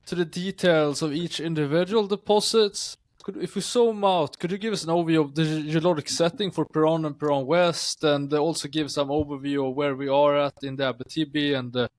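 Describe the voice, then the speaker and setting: A man hosts an investor interview and pushes his guests with direct follow-up questions about costs and plans. His voice sits fairly high for a man. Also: steady tone, fairly quick.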